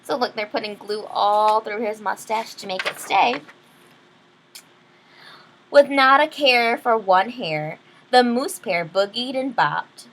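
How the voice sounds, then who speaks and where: A woman reading a children's picture book aloud expressively, pausing to explain things to young listeners. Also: fairly high pitch, fairly bright tone, a little hiss or hum, rough recording. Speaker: read-aloud storyteller.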